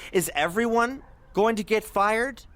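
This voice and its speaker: Theatrical internet radio host, highish voice, very slow deliberate pacing, righteous and taunting, drama monologue. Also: male voice, a bit bright, no echo. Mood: indignant, taunting.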